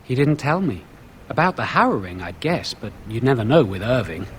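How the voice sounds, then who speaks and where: An actor playing a friendly but anxious and insecure young apprentice mage in a video game, earnest and worried. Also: male voice, medium pitch, big pitch swings, a little fast, close to an everyday voice. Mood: resigned, uneasy. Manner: earnest, worried, slightly wounded. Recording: game dialogue over faint ambient noise.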